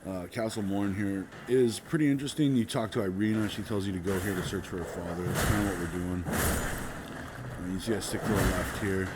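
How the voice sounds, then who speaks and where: Low-voiced sick man narrating gameplay. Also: fairly even intonation, fairly quick. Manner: laid-back, rambling, many ums. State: sick, voice a bit off. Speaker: gaming channel host. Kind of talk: casual gameplay walkthrough commentary. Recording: loud background noise.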